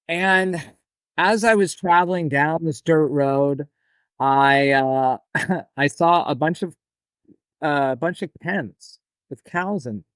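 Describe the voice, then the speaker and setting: A man in his forties from the United States speaks as a podcast guest, his voice fairly high for a man and notably smooth and resonant. He tells his story in a relaxed, meandering way. The recording is dry and close. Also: no echo. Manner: relaxed, storytelling, some restarts. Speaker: author and farmer guest. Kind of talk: storytelling in an interview.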